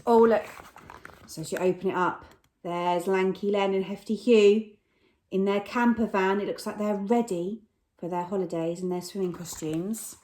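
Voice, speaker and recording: A woman's voice: mid-range voice, moderate pace. A woman teacher reading a picture book aloud to young children, gasping and asking them questions as she goes. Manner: animated, gasps and asks the children questions. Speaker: primary school teacher.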